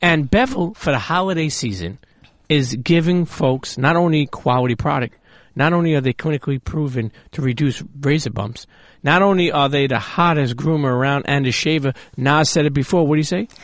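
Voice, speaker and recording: A man's voice: a little high, moderate pace, no room sound, studio quality. A man hosting a podcast, doing a playful, loose ad read for his show. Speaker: podcast host. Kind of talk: casual live ad read.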